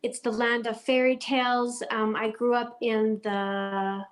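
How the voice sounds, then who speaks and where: Guest: woman raised in Denmark, higher voice, chatty, friendly, lots of ums. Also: little pitch movement, measured pace. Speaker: guest designer and decorator. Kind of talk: casual interview chat.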